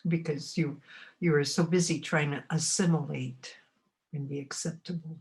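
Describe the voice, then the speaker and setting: Old lady in her 80s from montana, slow thoughtful storytelling, stops a lot to find words. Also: low voice, steady tone. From Montana, United States. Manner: unhurried, reflective, often pausing to find words. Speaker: oral history interviewee. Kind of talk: oral history recollection.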